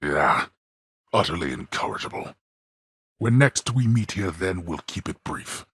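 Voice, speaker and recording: A man voicing a stern, scornful god of the dead and imperious father in a video game, with a booming, gravelly voice and formal, archaic phrasing. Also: big pitch swings, a little slow, fairly bright tone, dry recording, clean studio sound, full character voice. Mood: grave, weary disdain. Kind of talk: video game character voice acting.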